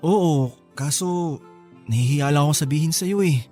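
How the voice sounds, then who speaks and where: An actor playing a bashful, gentle young office worker in a radio drama, hesitant in manner. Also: male voice, fairly high pitch, normal speed, soft background music, close to an everyday voice. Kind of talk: Tagalog radio drama acting.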